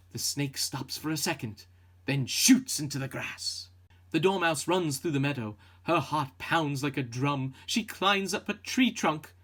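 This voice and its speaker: A man reads a children's story in a slow, husky voice. His narration is dramatic and suspenseful, with expressive shifts in tone. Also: medium pitch. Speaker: picture book reader.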